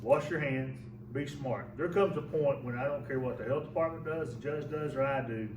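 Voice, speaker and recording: A man with a loud voice, giving plain-spoken, folksy advice as a local official. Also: medium pitch, normal speed. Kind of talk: public address. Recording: echoey room, mic far off, background noise.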